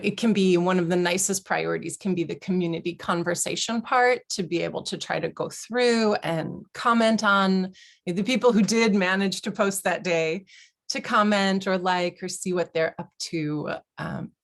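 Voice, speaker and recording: Gravelly-voiced woman hosting a video call. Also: fairly low pitch. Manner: encouraging, reassuring, thoughtful. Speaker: host and quilt teacher.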